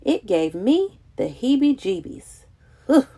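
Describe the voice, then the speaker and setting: A woman reading a children's picture book aloud in an expressive, dramatic, childlike storytelling manner. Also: a little high, takes it slowly.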